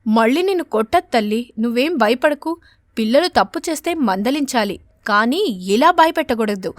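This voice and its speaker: A woman voicing a gentle, protective mother sparrow in a cartoon story, speaking in a tender, coaxing, soothing way. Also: on the high side, expressive, normal speed, no echo, clean studio sound, natural voice. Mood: reassuring, protective.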